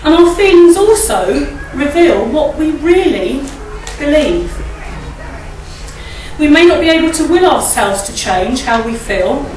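Croaky high-voiced woman in an echoey hall. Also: big pitch swings, unhurried, darkish tone. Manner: chatty, asks the audience tag questions and laughs often. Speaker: church speaker. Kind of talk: informal talk at a church service. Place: church hall, indoors. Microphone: standing mic at a lectern, filmed by a far camera. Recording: echoey hall, recorded from a distance.

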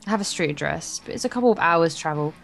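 A woman's voice: low-pitched, animated, quick pace, creaky. A woman co-hosting a let's play, reading a visual novel aloud with playful, casual banter. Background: soft guitar music.

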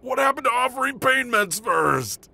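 A man playing a wounded, stubborn dragon-shifter henchman in an audio roleplay, gravelly, strained and cheekily sarcastic. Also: very high voice, big pitch swings, moderate pace, sharp tone, exaggerated voice. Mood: pained.

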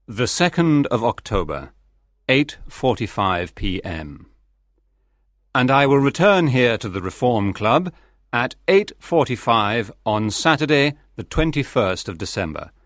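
An actor playing a calm, formal, coolly confident English gentleman in a dramatized audiobook, speaking exactly and with measured care. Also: on the low side, dry recording, studio quality.

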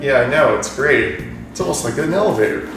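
A man's voice: mid-range voice, animated, a little fast. Echoey, distant man joking over game music. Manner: joking, rambling asides. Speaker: let's play host. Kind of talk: casual gaming commentary. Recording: roomy echo, distant mic, music behind.

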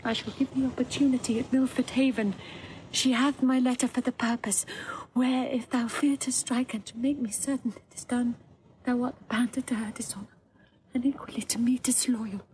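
High-voiced, impassioned princess played by an actress. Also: female voice, fairly even intonation, moderate pace, darkish tone, a little hiss or hum, natural voice, low-quality recording. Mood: shaken, hushed reading. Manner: impassioned, declamatory. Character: anguished, proud young princess, wronged wife. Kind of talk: classical Shakespearean audio drama acting.

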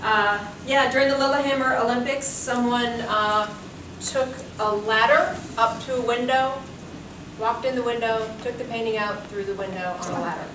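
A woman's voice: fairly high pitch, fairly even intonation, moderate pace. A woman in her forties, a curator giving a talk, telling anecdotes in a chatty way and laughing often. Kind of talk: lecture with anecdotes. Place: seminar room with audience. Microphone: room mic from far off. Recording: echoey room, distant mic, background hiss.

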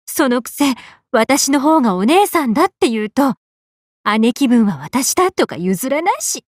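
A woman's voice: high-pitched, normal speed, no echo, studio quality. A woman voicing a tall, affectionate big-sisterly sun goddess in an anime game, swinging between bubbly and tender. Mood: gentle, pensive. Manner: swings between excited exclamations and gentle, tender speech. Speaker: game character. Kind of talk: Japanese anime game voice acting.

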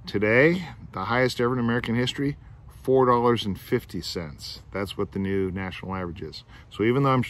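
A man's voice: fairly low pitch, a bit bright, slight background noise. A middle-aged man, filmed outdoors on a phone, explaining a class assignment in a rambling way, restarting his sentences and thinking aloud. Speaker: teacher. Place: outdoors among trees. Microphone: phone camera at arm's length.

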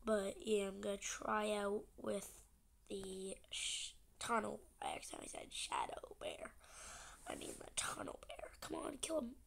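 Stuffy-nosed youngster narrating a game. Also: female voice, mid-range voice, a little slow, vocal fry, a bit bright. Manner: chatty, rambling, often half-whispering. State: stuffy nose from a cold. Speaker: gaming channel host. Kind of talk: casual gameplay commentary.

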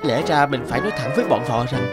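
A man's voice: mid-range voice, expressive, fast talker, natural voice. A man voicing an anxious, devoted young scholar husband in a children's cartoon, earnest and fretful. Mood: worried. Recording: music under the voice.

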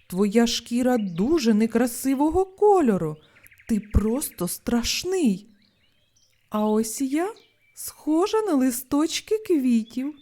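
A woman's voice: fairly high pitch, dark tone. A woman voicing a vain, rude female frog in a children's storybook, speaking with scornful emphasis.

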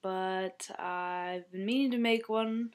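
A young woman with a stuffy nose chatting casually to her webcam in a bedroom, rambling and trailing off softly. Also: mid-range voice, steady tone, slow pace. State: congested. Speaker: vlogger. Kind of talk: casual chat.

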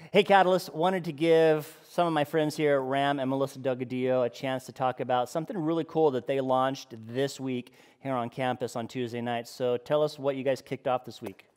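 A man's voice: medium pitch, steady tone, normal speed, few pauses, a little mellow, clean tone, big-room sound. A middle-aged man hosting casual church announcements and interviews in an informal, conversational way. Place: indoors, church stage.

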